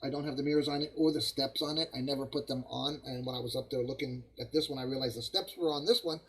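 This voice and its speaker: A man with a cold, hoarse and coughing, hosting a live stream and chatting casually to viewers while building models. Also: medium pitch, fairly even intonation, quick pace, low-quality recording. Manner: rambling, friendly, greets viewers by name.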